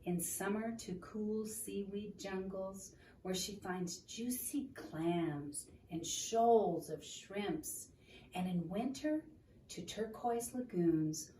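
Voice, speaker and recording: A woman reading a children's book aloud in an expressive, deliberate storytelling manner, in a roomy, noisy recording made at a distance from the microphone. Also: mid-range voice. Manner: expressive, deliberate, asking the listener questions.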